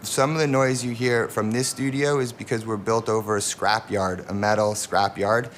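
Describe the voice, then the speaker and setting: A man explains his work in an interview. His voice is fairly low with little rise and fall, and faint scrapyard noise sits behind him. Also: fairly even intonation, moderate pace. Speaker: painter and designer. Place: home studio over a scrapyard.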